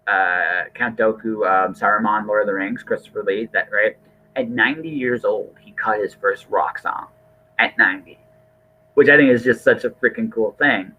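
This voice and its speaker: A man's voice: lowish voice, lively intonation, normal speed, bright, forceful tone, highs cut off. A middle-aged man hosting a casual podcast conversation, earnest and rambling, often repeating his words. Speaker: podcast host.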